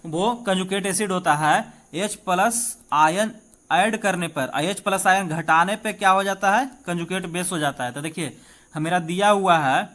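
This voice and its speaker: An Indian man with a notably high voice gives an exam-prep lesson. He talks informally, goes back over key points and keeps checking that his listeners follow. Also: fairly bright tone. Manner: reads questions slowly and deliberately in English, then explains in Hindi. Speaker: teacher. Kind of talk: exam-prep chemistry lesson, explaining questions aloud.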